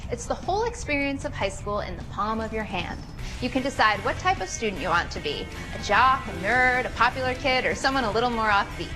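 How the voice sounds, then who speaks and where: High, lively woman presenting a scripted promo. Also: fairly quick, music in the background. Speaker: video show presenter.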